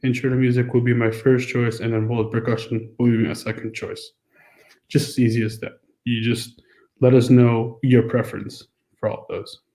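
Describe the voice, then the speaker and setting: A man's voice: mid-range voice, flat delivery, normal speed, warm tone, roomy sound. A man on a school's staff, with an American accent, walking through a course registration form in a casual, explanatory way with many fillers. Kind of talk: screen-recorded walkthrough.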